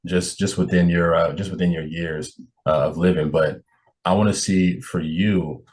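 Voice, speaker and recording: A man's voice: sits low, steady tone, normal speed, a little mellow. A man hosting a podcast, interviewing in a casual, conversational way with an encouraging, appreciative manner.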